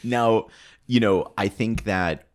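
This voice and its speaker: A man's voice: lowish voice, slow pace. A man speaking conversationally as the host of a podcast interview.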